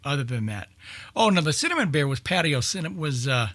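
A man with a husky voice chatting casually in a group, reminiscing in a halting way. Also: fairly high pitch, sing-song, fairly bright tone, dry recording. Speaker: radio show panelist. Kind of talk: casual group chat about old-time radio.

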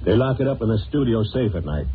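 A man's voice: on the low side, animated, quick pace, close to an everyday voice. An actor playing a brash, streetwise gangster boss in a superhero audio drama, talking in slangy tough-guy fashion. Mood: confident. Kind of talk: old-time superhero audio drama acting. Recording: tinny, noisy old audio.

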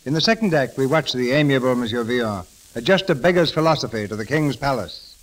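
A man's voice: medium pitch, animated, fast talker. A man announcing a 1930s radio drama in a polished, formal scripted style on a hissy old recording.

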